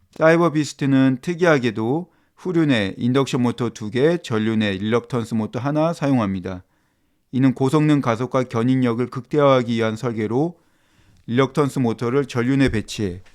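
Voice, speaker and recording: A man reading scripted explainer narration in a formal, measured manner. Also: mid-range voice, a little mellow.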